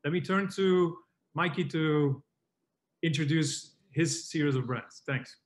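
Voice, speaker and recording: A man moderating a webinar panel, measured, linking remarks and passing questions between guests. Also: a little high.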